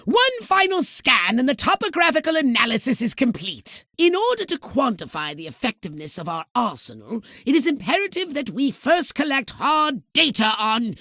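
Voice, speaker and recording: A man voicing a haughty, scheming little alien commander in a video game, with a shrill nasal voice and pompous, sardonic, wordy delivery. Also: big pitch swings, normal speed, hardly any pauses, sharp tone, no room sound, exaggerated voice. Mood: smug, calculating. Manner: pompous, verbose, sardonic, exclaiming dramatically. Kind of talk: theatrical video game voice acting. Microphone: close, dry studio microphone.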